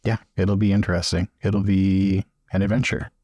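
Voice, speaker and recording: A man plays an adventurous scholar in a fantasy roleplay, low and husky. He is understated and halting, keeping things to himself, recorded close and dry with music underneath. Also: level tone, a little mellow, no echo, highs cut off. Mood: evasive. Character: James, restless adventurous young scholar, reticent about leaving. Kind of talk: improvised in-character roleplay dialogue.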